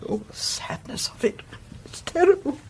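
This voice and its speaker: An actress playing a kindly, anxious elderly lady in a radio drama, a motherly confidante with a gentle, hesitant, fussy manner. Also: moderate pace, slight background noise, close to an everyday voice. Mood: sorrowful. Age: older.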